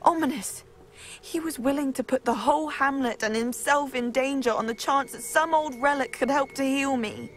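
A woman playing a frail but resolute teenage girl in a video game, soft and grave. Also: high voice, moderate pace, close to an everyday voice. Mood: upset, resolute. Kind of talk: video game dialogue acting.

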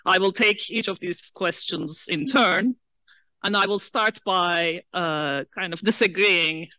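A woman from Turkey giving academic remarks on a conference panel, speaking in a measured way with many "uh" fillers and the occasional soft laugh. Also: on the low side, no room sound. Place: large lecture hall.

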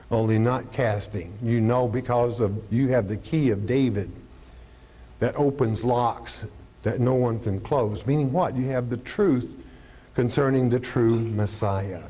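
Older man pastor on a lapel mic, warm, low-key tone, animated Bible teacher. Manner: animated, gestures constantly while teaching; poses rhetorical questions and answers them. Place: studio stage with podium and curtain.

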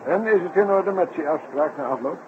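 A man's voice: on the high side, expressive, a little fast, lightly acted. Actor as hesitant, friendly man, muffled recording. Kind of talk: radio drama dialogue. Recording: dull old radio recording, clear noise.

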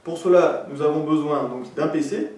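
A man's voice: fairly high pitch, normal speed, darkish tone. A man presenting a technical tutorial step by step in a methodical, instructional manner. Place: indoor room with desk and green backdrop. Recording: echoey room, distant mic, faint noise.

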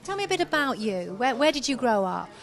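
A middle-aged woman hosts a live broadcast with a fairly high voice. She talks in a breezy, chatty way, throwing in friendly remarks, close on a handheld microphone in a busy pub. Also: sing-song, a little fast. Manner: breezy, chatty, compliments the guest. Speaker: radio presenter. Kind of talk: live radio interview. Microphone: handheld mic.

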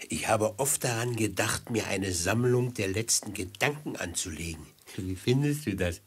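Sly wistful fellow in an old radio play, lowish husky voice, musing. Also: male voice, animated, moderate pace. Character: wistful, sly male companion who cannot write. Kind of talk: 1950s German radio play acting.

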